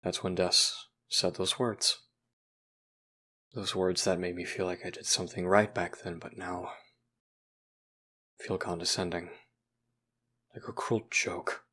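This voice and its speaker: An actor playing a brooding, guilt-ridden young person recounting painful memories in a somber, halting audio drama monologue. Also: male voice, sits low, level tone, sharp tone, exaggerated voice. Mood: bitter, hurt.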